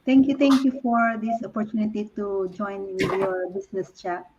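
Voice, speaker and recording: A woman guest answers interview questions haltingly, restarting phrases and filling pauses. Her voice is mid-pitched, and the audio sounds dull and lo-fi. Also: flat delivery, a little mellow. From Philippines. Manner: halting, many restarts and fillers.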